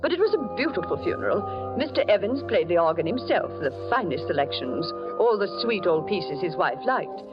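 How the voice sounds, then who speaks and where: A gossipy small-town older woman, chatty and sing-song. Her voice sits low but swings widely, and loud music plays under the dull old radio recording. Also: big pitch swings, moderate pace, hardly any pauses. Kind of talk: 1940s radio acting.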